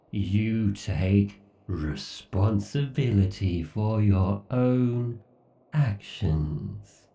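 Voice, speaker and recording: A man performs an obsessed, hateful machine god for a horror audio roleplay. The voice is dark and crackles with fry, drawing out each condescending phrase with theatrical menace. It rings with echo, as if set back from the listener. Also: fairly low pitch, recorded from a distance, exaggerated voice. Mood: contemptuous. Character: obsessive, sadistic male AI god villain, gloating and hateful. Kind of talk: horror ASMR roleplay monologue.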